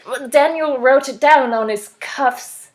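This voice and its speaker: Joking woman player, quick talk. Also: high voice, background noise. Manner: quick, joking, laughs often. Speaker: podcast co-host and player. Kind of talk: casual roleplay chat.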